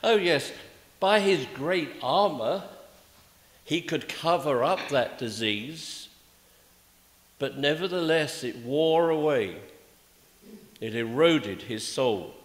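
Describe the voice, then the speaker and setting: Slow, echoing older male preacher. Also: a little high, lively intonation. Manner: measured, rhetorical, with emphatic pauses and repeated phrases. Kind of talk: sermon. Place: indoors, small church at a pulpit. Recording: echoey church with long reverb.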